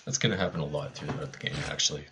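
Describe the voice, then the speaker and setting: A man's voice: very deep voice, steady tone, racing, background noise. A man with an American accent giving casual, laid-back live commentary as he plays a speedrun.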